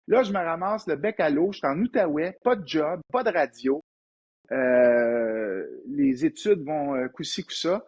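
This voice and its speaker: A man in his fifties with a Québécois accent, an entrepreneur guest telling lively anecdotes in a casual interview. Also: a little high, breathy edge. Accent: Québécois French. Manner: animated anecdotal storyteller, frequent restarts.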